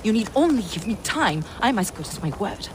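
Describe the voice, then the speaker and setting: A woman's voice: medium pitch, very animated, fairly quick, noisy background, natural voice. An actress playing a fierce, silver-tongued and boldly confident warrior woman in a video game. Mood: assertive, persuasive.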